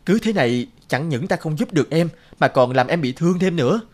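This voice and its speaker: A man voicing a resentful, lonely young spirit of night in an animated fairy tale, brusque and emphatic. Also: on the high side, brisk. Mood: concerned. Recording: prominent music under the voice.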